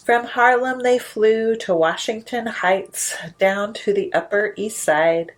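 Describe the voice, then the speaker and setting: A woman hosting a children's storytime, reading aloud in an expressive, deliberate storytelling manner. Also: medium pitch, rough recording. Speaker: librarian storytime host. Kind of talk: expressive read-aloud of rhyming children's picture book.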